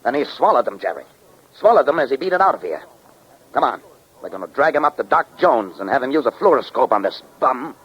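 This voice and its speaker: An actor playing a tough, suspicious crime boss with slangy, hard-boiled talk and a husky voice, in 1940s radio drama style. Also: male voice, medium pitch, big pitch swings, fast talker. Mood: menacing, irritated. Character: tough, suspicious male boss of diamond thieves. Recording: dull old 1940s radio recording.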